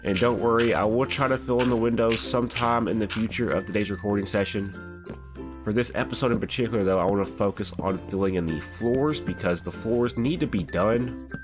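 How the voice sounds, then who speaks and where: A young man with a very low, stuffy voice from a cold, talking in a laid-back, rambling way as he plays. Music plays clearly behind him. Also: brisk. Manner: laid-back, rambling, says "bro" often. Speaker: gaming channel host. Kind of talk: casual gameplay commentary. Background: background music.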